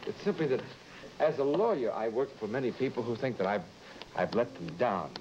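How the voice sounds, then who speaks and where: An actor playing an anxious, secretive married lawyer in a vintage broadcast drama, speaking in a halting, pleading, evasive way. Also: male voice, medium pitch, expressive, a little mellow, close to an everyday voice. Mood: strained, pleading. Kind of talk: vintage mid-century broadcast drama acting. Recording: dull, noisy vintage broadcast recording.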